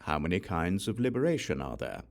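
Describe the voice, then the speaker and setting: A man reading a scripture audiobook, reciting verse in a measured, deliberate and solemn manner. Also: deep voice, very animated, gravelly. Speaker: audiobook reader.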